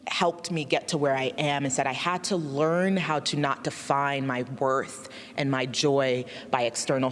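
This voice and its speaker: A woman's voice: rumbling low, big-room sound. A woman in her thirties, a film director born in Nigeria and raised in Alaska, answering interview questions earnestly and reflectively. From born in Nigeria, raised in Alaska, USA. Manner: earnest, reflective, often laughing softly.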